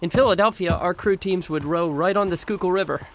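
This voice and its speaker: An actor playing an earnest, gentle, bookish young male college student in an audio drama, speaking hesitantly. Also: high-pitched, fairly quick, background noise. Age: young adult. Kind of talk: scripted audio drama dialogue.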